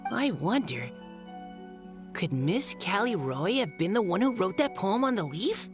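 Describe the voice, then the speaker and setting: An earnest young game heroine with a gentle, polite way of speaking. Her mid-pitched voice moves expressively, a touch hesitant, with faint music behind it. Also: big pitch swings, unhurried. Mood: hesitant, pensive. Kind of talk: video game cutscene acting.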